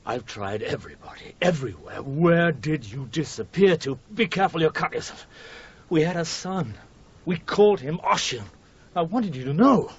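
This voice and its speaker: A man's voice: lively intonation, moderate pace, slightly rough. An actor playing a harried, apologetic male publisher in a radio drama, earnest, pleading and hesitant. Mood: shaken. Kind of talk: 1980s British radio drama acting. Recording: dull archive radio recording, faint rumbling noise.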